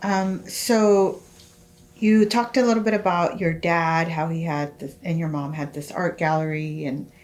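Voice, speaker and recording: Low-voiced woman interviewer. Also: fairly even intonation, darkish tone. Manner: brief affirming backchannels, measured questions. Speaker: oral history interviewer, professor. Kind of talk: interview questions.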